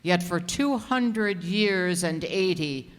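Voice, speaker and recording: A gentle old priest played by a man, smooth and clear in tone. He speaks slowly and solemnly, full of pity, in old radio drama style. The voice carries in an echoey hall. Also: high-pitched, lively intonation. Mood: solemn, earnest. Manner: solemn, archaic, measured. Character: gentle, pitying old priest, centuries-old companion of the cursed. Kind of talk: old-time radio melodrama. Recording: echoey hall stage performance.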